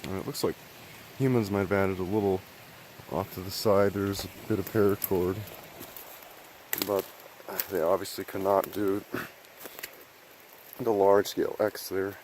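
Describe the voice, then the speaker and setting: A man with a cold and a hoarse voice, narrating casually as he hikes through a remote mountain forest. He rambles, thinks aloud and clears his throat often. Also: low-pitched, little pitch movement, measured pace, pauses often, a little mellow, slight background noise, rough recording. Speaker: outdoor explorer filming a vlog. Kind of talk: casual narration while hiking.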